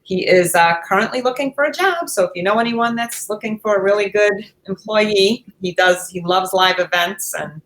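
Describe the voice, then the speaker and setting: A woman's voice: mid-range voice, moderate pace, few pauses, fairly bright tone. A middle-aged woman, co-hosting a podcast in casual conversation. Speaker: podcast co-host.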